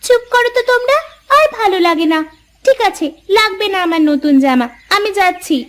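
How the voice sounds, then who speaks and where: A woman's voice: extremely high pitch, expressive, brisk. A woman voicing a sweet, wistful young village girl in a cartoon fairy tale. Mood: upset.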